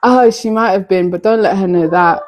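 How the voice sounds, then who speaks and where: A woman's voice: medium pitch, moderate pace. A British woman hosting a casual livestream, chatting playfully with guests and greeting viewers by name. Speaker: livestream host. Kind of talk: casual live chat with viewers.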